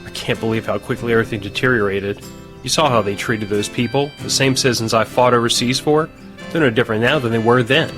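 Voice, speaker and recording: An actor playing a weary, stubborn army veteran in an audio drama, with a dry, plain-spoken manner. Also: male voice, medium pitch, quick pace, fairly bright tone, faint music behind, lightly acted. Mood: weary, reluctant. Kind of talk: naturalistic audio drama acting.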